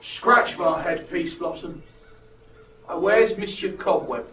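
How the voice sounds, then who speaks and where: A man plays a pompous ass-headed fool in a comic Shakespeare audio play. He is grandly polite and hesitant, a little high in pitch, recorded off mic in a roomy space. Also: slow, fairly bright tone. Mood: lordly contented. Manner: hesitant, self-important, courteous. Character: pompous male buffoon with an ass's head. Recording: roomy, distant, faint noise.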